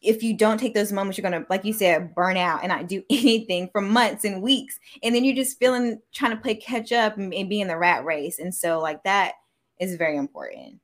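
A woman's voice: medium pitch, brisk. A woman hosting a casual interview, chatting informally in an affirming, empathetic way. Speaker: interviewer, show host. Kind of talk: casual chat.

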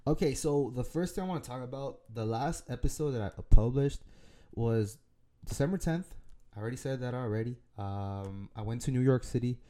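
A man with a raspy, allergy-worn voice talks through his solo podcast episode, the tone very dark and mellow. He rambles casually, circling back on himself with lots of fillers and restarts. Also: mid-range voice, normal speed. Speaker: podcast host.

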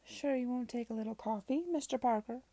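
A woman voicing a male gambling-house owner in a period story, a low-born villain with affected, genteel politeness. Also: a little fast, mellow, dry recording. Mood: oily courtesy. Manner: affected politeness, making a show of affront.

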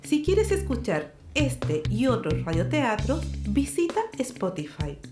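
A woman delivers a slow, emphatic closing announcement with a lively, rising and falling pitch. Her voice sounds roomy, and music plays loudly beneath her. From Chile.

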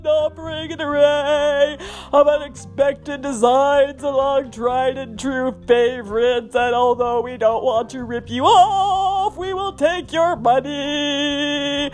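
A woman's voice: sits high, slow pace, darkish tone, soft background music. A woman voicing a smug, mock-grand museum announcer in a theatrical, pompous reading of fundraising copy.

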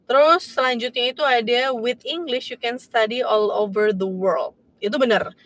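A woman's voice: a little high, normal speed, a bit bright, dry recording. A young woman co-hosting a podcast, explaining points to listeners and mixing in English phrases. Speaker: podcast co-host. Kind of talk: casual chat.

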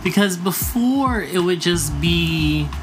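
A woman's voice: on the low side, animated, slow, music in the background. A woman hosting a casual podcast, talking in a laid-back, drawling way. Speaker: podcast host. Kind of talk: casual chat.

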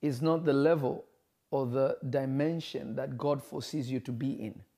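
A man preaching a livestreamed sermon, speaking emphatically, repeating phrases and slowing for stress, and greeting viewers by name. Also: mid-range voice, fairly even intonation, creaky, mellow. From Kenya.

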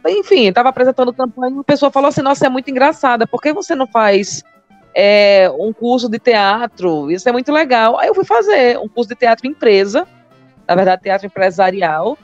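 A woman's voice: on the high side, lively intonation, moderate pace, no room sound, lo-fi sound, faint music behind. A woman in her thirties from Maceió with a northeastern Brazilian accent, a comedian telling her story in a casual interview and repeating words for emphasis. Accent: Northeastern Brazilian (Alagoas). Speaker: comedian guest.

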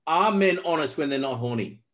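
Excitable joking man hosting a podcast. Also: on the high side, fairly quick. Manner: jokey, excitable, laughs a lot. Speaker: podcast host. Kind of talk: casual interview.

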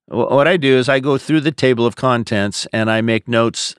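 An American man speaking as a pastor, answering questions in a measured, explanatory, conversational podcast style. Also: mid-range voice, clear, resonant tone, no echo, studio quality.

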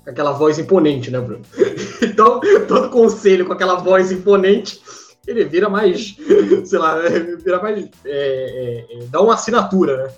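A man's voice: high-pitched, lively intonation, moderate pace, soft background music. A man with a Brazilian Portuguese accent, co-hosting a casual chat and sharing trivia with lots of fillers. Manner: chatty, shares trivia with frequent fillers. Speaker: podcast co-host. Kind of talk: casual chat about cartoons. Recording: roomy, muffled mic.

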